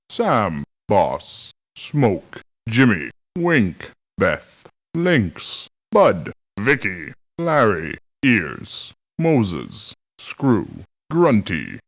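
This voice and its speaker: Formal mercenary doctor, expressive pitch. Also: male voice, heavily acted. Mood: calling out. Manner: formal, measured wording, reproachful. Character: dignified, stern male doctor mercenary, formal and proud. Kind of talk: video game voice acting, short scripted lines.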